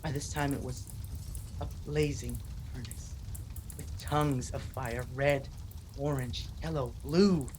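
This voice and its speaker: A man's voice: mid-range voice, steady tone, slow pace, darkish tone, slight background noise, close to an everyday voice. An actor playing a desperate, obsessed husband who narrates his horror tale in an intense, melodramatic 1940s radio style. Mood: awed, fevered.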